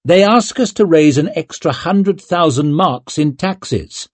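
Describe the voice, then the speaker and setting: A man's voice: a little high, expressive, no room sound, voice pushed up. A man voicing a stately, composed middle-aged noblewoman speaking formally in an audiobook. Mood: grave. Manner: measured, formal.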